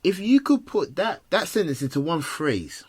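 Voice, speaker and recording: A man's voice: on the high side, big pitch swings, normal speed, breathy edge. Man hosting a probing, encouraging interview. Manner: probing, encouraging, rephrasing his questions. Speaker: podcast host. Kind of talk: conversational coaching-style interview.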